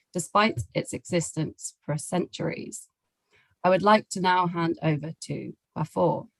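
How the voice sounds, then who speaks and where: A woman's voice: on the low side, vocal fry, dry recording, poor audio. A woman hosting an online webinar, giving a polite, scripted welcome and introduction. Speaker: webinar host. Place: online video call.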